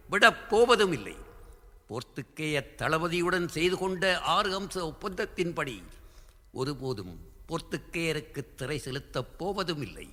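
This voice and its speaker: A man's voice: fairly high pitch, pushed and bright, exaggerated voice. An actor playing a proud, guilt-ridden king in a historical radio drama, declaiming formally. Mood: defiant. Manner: declamatory, formal classical diction. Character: proud, guilt-ridden Tamil king confessing to his queen. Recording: long hall-like reverb.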